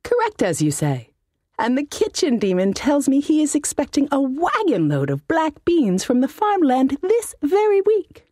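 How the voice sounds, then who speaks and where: A woman's voice: high-pitched, wildly swooping pitch, dark tone, no room sound, clean studio sound, pitched above the performer's own voice. A woman playing an imperious, menacing male wizard. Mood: scornful, menacing. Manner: pompous, threatening, deliberate. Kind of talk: acted audiobook storytelling.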